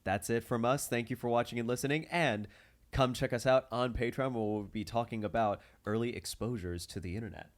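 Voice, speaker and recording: A man chatting casually as a podcast host, animated and quick to laugh. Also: mid-range voice.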